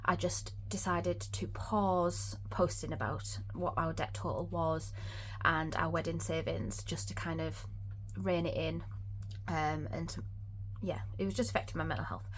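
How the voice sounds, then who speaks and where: A woman's voice: deep voice, fairly even intonation, a little slow, a little hiss or hum, rough recording. A woman from England, chatting casually through a budgeting vlog with lots of ums, sniffly from a cold. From Northeast England. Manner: chatty, many ums, upbeat. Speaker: budgeting vlogger.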